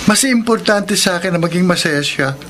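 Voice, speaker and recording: A man playing an earnest, selfless friend giving counsel in a Filipino radio drama, with measured, philosophical delivery. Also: sits high, expressive, background noise. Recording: music under the voice. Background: music.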